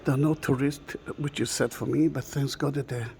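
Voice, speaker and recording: A man whose first language is Hebrew, guiding a slow, halting walking tour inside a large stone church, recorded on a camera mic. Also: mid-range voice, fairly even intonation, creaky, warm tone. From Israel. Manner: slow, halting, with many fillers. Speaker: tour guide.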